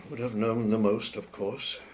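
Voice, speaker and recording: A man's voice: on the low side, little pitch movement, a little mellow, lightly acted. An actor playing an elderly, grieving clergyman father in a period radio drama, guarded, courteous, formal and hesitant. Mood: guarded, regretful. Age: older. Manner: hesitant, measured, formal wording.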